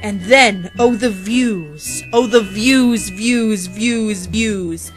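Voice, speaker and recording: A woman's voice: mid-range voice, extremely slow, faint music behind. A woman narrating a rhymed storybook parody in a theatrical, playful way, drawing out words for dramatic emphasis.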